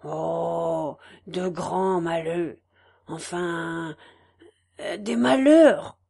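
A woman's voice: a little high, a little slow, darkish tone. A woman voicing a talkative middle-aged peasant farm wife with emphatic, rustic country speech. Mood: hesitant.

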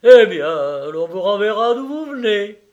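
A man's voice: sits high, sing-song, a little slow, a little mellow, dull audio, voice pushed up. A man voicing a peevish, scolding spinster in a storybook reading, exclaiming and complaining indignantly. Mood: cutting.

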